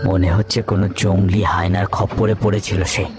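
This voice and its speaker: A man's voice: low-pitched, level tone, brisk, music in the background, voice pushed down, natural voice. A man playing a grave, questioning member of a river patrol in a cartoon horror story. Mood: grave unease.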